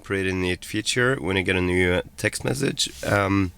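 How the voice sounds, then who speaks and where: A man from Norway with a Norwegian accent, a bit under the weather, giving a casual, halting tutorial from inside a car. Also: low voice, gravelly, fairly bright tone, clear, resonant tone. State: sick, throat a bit off. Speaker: car tech video presenter.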